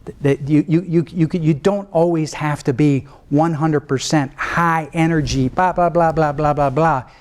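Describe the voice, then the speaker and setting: A middle-aged man, a trial lawyer, lecturing law students in an animated way, swinging between a low calm voice and sudden raised mimicry. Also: moderate pace, hardly any pauses. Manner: animated, mimics other lawyers with rising pitch, then drops low to show restraint.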